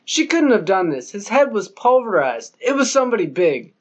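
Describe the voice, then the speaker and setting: A man's voice: sits high, sing-song, normal speed. A man voicing a blunt male police lieutenant who explains things matter-of-factly.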